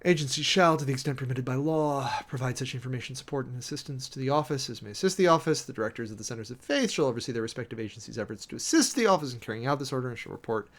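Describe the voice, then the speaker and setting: A man's voice: medium pitch, animated, rapid-fire, few pauses. A man hosting a livestream, reading a formal document aloud and commenting off the cuff in an informal, emphatic way. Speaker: livestream host.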